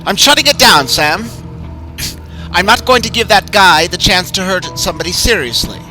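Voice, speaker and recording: A man's voice: high-pitched, animated, moderate pace, pushed and bright, soft background music, voice pushed up, full character voice. A man voicing a frightened middle-aged haunted house owner who talks nervously and insistently. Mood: worried.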